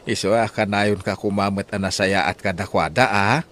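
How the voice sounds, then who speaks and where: An actor playing an earnest, deliberate family man in an Ilocano radio drama. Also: lowish voice, steady tone, fairly bright tone, close to an everyday voice. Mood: emphatic, fatherly.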